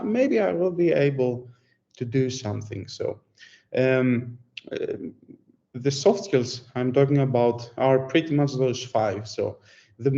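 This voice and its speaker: A man's voice: medium pitch, dark tone, dull audio. A man from Greece with a Greek accent, whose first language is Greek, telling a story in a conversational, self-deprecating way while giving a presentation. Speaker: conference speaker.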